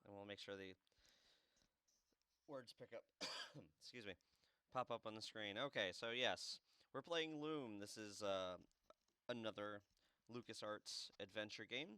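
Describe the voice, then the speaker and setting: A man's voice: on the low side, unhurried, sharp tone, clear, resonant tone, no echo. A man hosting a game playthrough with easygoing, wry, casual commentary into a close mic.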